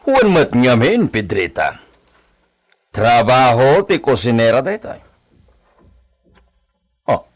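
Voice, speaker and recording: A man's voice: medium pitch, big pitch swings, slow pace, long pauses. A man playing a wealthy, doting father and villa master in a radio comedy drama, theatrical and fond of Spanish exclamations. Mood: calm, authoritative.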